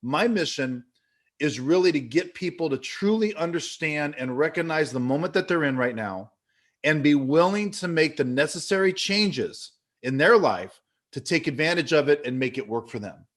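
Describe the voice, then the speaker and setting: A man's voice: on the high side, expressive, normal speed, a bit bright. A man in his fifties from California giving an earnest motivational talk, telling stories with many fillers and restarts. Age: 50s. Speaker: business leader speaking at an online event.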